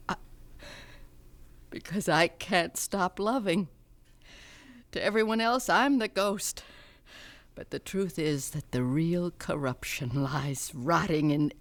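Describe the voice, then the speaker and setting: An actress playing a bitter, tormented middle-aged mother who is unravelling, in theatrical, halting radio drama style. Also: fairly low pitch. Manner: theatrical, halting, dwelling on words.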